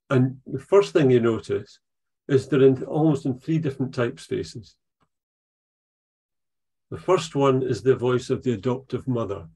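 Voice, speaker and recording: An older man with a Dundee Scots accent speaks in a gravelly, slightly husky voice. He teaches a writing workshop over a video call, thoughtful and unhurried, pausing and restarting as he searches for words. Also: medium pitch, a little mellow. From Scotland. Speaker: poet leading a writing workshop. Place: attic room at home. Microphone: video call, laptop camera.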